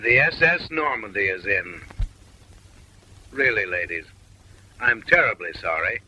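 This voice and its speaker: Wry, sharp-voiced male comic narrator. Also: fairly low pitch, expressive, a little slow, low-quality recording. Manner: mock-refined, wry and suggestive, lecturing the ladies with teasing asides. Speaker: film narrator. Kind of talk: wisecracking comic voice-over narration.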